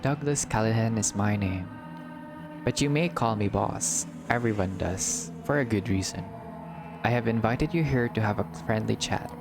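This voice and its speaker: A man's voice: fairly low pitch, normal speed, vocal fry. A man voicing a menacing, smug gangster boss from a visual novel, with domineering, mock-polite threats. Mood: smug menace. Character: menacing, bigoted male crime boss, smug and violent. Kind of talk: visual novel villain dialogue read aloud. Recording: loud music under the voice.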